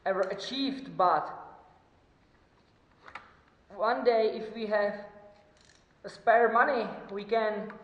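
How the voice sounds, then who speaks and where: A man from the Czech Republic, whose first language is Czech, talking casually through a motorcycle engine teardown, halting often with fillers and small chuckles. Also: sits high, reverberant, in a large hall, highs cut off. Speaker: motorcycle mechanic vlogger. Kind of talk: casual workshop commentary.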